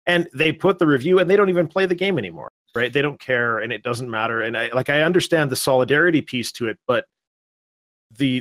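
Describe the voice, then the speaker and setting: A man hosting a casual discussion speaks in a measured, slightly hesitant way, working out his thoughts aloud. His voice is middle-pitched and recorded dry and close. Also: a bit bright, no room sound. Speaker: channel host.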